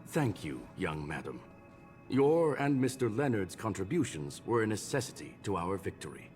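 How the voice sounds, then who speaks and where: An actor playing a courteous, calculating scholarly gentleman in a video game, speaking in a formal, measured, polite way. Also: lowish voice, big pitch swings, soft background music. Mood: courteous, calm.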